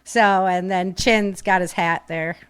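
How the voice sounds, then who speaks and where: A woman's voice: lowish voice, fairly even intonation, unhurried, clear, resonant tone, no echo. A woman chatting casually and informally as a live podcast host, with breezy, slangy talk. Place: home office.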